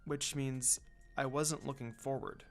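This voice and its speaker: A man voicing a gentle, anxious young woman heroine in a story reading, soft and hesitant. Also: mid-range voice, steady tone, measured pace, gravelly, faint music behind. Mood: worried.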